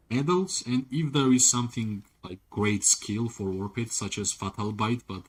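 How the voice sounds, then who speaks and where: A man's voice: lowish voice, breathy edge. Rambling male game streamer chatting casually. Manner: rambling, leans on "like" and tag questions "right?"; talks to chat viewers directly. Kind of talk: casual live-stream commentary while playing.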